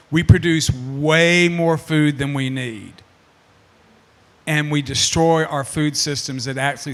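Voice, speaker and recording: Sixties guy running a panel, kinda high voice, fired up and keeps repeating stuff. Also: fairly bright tone. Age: 60s. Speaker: panel moderator. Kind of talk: passionate panel talk.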